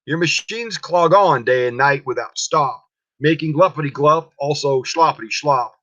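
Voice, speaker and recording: A man's voice: a little high, lively intonation, moderate pace, bright, forceful tone, voice pushed up. A man voicing an indignant, scolding old male forest creature in a storybook reading. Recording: dull lo-fi close recording.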